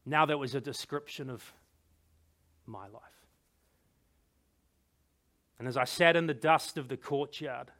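Proud biblical king , performed by a man: high, forceful voice, emphatic dramatic monologue, quick to wrath. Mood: stricken, grieving. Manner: theatrical, emphatic, with dramatic pauses. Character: proud, wrathful king of Judah telling his own downfall.

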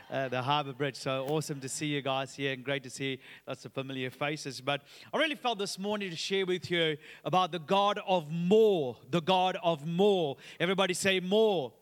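A man in his thirties, originally from Sri Lanka, gives an upbeat, chatty sermon as a guest pastor. His voice sits high and sounds bright and forceful, and he drops in frequent "uh" fillers. The sound carries the long reverb of a church hall. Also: smooth. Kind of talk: sermon with personal story. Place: church auditorium.

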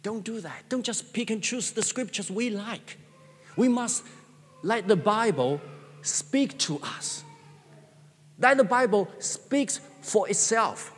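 A man's voice: high-pitched, lively intonation, slow pace, husky, long reverb tail. A man whose first language is Chinese, preaching a sermon as a pastor in an earnest way, repeating phrases with stammering restarts.

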